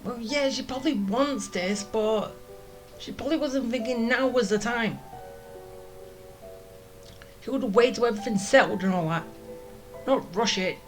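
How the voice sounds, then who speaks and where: A woman's voice: fairly high pitch, a little slow, long pauses, rough recording. A woman giving casual, informal playthrough commentary, reacting and musing as she goes. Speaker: game streamer. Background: game music.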